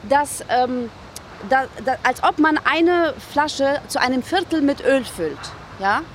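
A woman's voice: sits high, animated, slow, slight background noise. Stubborn, emphatic businesswoman outdoors. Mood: earnest, determined. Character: passionate, stubborn woman refusing to sell her business. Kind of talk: naturalistic radio drama acting.

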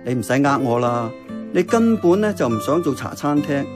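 A man playing an earnest, thoughtful uncle who runs a diner in a radio drama, with a Hong Kong accent and a measured, reflective manner. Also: mid-range voice, expressive, close to an everyday voice. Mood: gently firm. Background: music.

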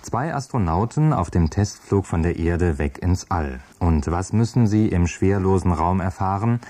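A male radio announcer delivers a scripted introduction to a play. His voice is very deep, with a dark, mellow tone. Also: animated, normal speed. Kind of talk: scripted narration.

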